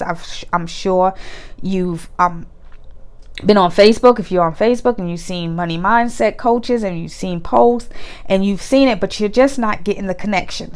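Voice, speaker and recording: A woman's voice: medium pitch, clear, resonant tone. A woman money coach, stuffy-nosed from a cold, talking straight to the viewer in an earnest, encouraging way and asking them lots of questions. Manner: earnest, asks the listener many questions. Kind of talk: direct-to-camera coaching talk.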